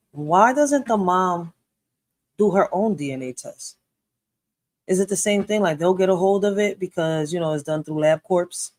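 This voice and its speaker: A man in his thirties hosts a casual reaction video, speaking bluntly, swearing and thinking aloud through rhetorical questions. His voice sits a bit high. The sound is dry and close, with no room around it. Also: no echo. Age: 30s.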